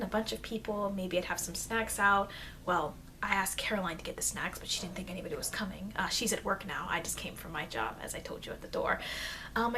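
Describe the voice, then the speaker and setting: Through a faintly noisy amateur mic comes a woman acting as an eager young-seeming vampire girl in an ASMR roleplay. She is friendly and chatty, with a mid-pitched voice. She rambles awkwardly and hesitates as she goes. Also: fairly bright tone, lightly acted. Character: friendly, flustered, eager vampire girl, thousands of years old. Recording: noisy amateur microphone, background hiss.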